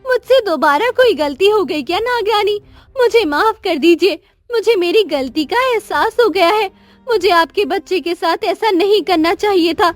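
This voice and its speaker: Woman voicing a high-pitched, emotional young queen. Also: moderate pace, dry recording. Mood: remorseful, pleading. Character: young queen, earnest, pleading, devoted mother. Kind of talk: Hindi cartoon moral story acting.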